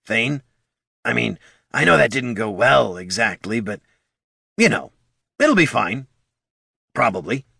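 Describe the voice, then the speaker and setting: A man's voice: medium pitch, very animated, measured pace, gravelly, pauses often, sharp tone, husky, no room sound, clean studio sound, pitched above the performer's own voice, heavily acted. A man voicing a small, scrappy male kobold who rambles nervously and talks himself in circles. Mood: coaxing.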